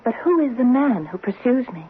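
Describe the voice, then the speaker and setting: A woman's voice: fairly high pitch, big pitch swings, a little fast. An actress playing an anxious, trusting young wife in her late twenties in a 1970s radio drama, in dialogue and first-person narration. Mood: puzzled, uneasy. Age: late 20s. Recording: muffled narrow-band vintage radio, faint hiss.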